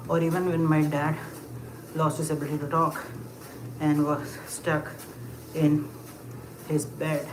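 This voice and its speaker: A livestreamer rambles and muses aloud to the chat at a middle pitch, full of "um"s and little laughs. The voice bounces around a roomy, echoey space, with a steady wash of ambient noise clearly under it. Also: measured pace. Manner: rambling, musing aloud with frequent fillers and short laughs; talks while walking. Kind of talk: casual live chat.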